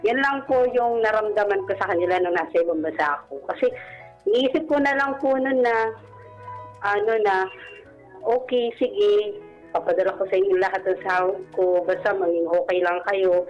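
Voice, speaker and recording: Woman, Filipino accent, radio caller, candid personal storytelling, mid pitch, music underneath. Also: normal speed, muffled recording. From Philippines.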